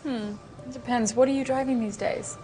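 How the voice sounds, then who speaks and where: A woman playing a playful food judge with a slightly high voice and a teasing tone. Music runs under her lines. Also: fairly even intonation, normal speed, close to an everyday voice, low-quality recording. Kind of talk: film dialogue. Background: background music.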